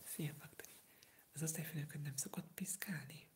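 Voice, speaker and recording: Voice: mid-range voice, fairly even intonation. Whispering sick eighteen-year-old. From Hungary. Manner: soft unvoiced whisper, rambling, hesitant with fillers. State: sick, with a sore throat. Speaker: ASMR streamer answering viewer comments. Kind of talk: whispered ASMR livestream chat.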